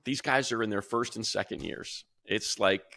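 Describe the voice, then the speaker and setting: A man co-hosting a sports talk show as a radio play-by-play announcer, energetic and rambling, talking in a studio. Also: moderate pace, no room sound. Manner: energetic, rambling, mimics fans in a high voice.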